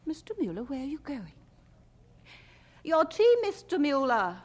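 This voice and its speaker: A woman's voice: high voice, big pitch swings, unhurried. An actress playing a chatty older lady, a gushing and fussy hostess, in a radio drama. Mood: flustered.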